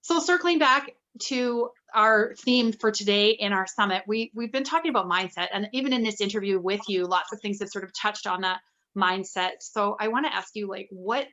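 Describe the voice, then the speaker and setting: A woman's voice: medium pitch, moderate pace, pushed and bright. A woman in her forties interviewing a guest in a conversational way, on slightly lo-fi audio. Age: 40s. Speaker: interviewer, summit host.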